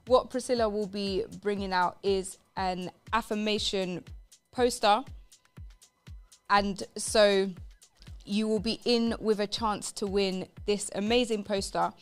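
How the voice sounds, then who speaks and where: A woman's voice: mid-range voice, steady tone, slow, smooth, faint music behind. A woman presenting a live radio show in an upbeat, emphatic way, repeating phrases for stress.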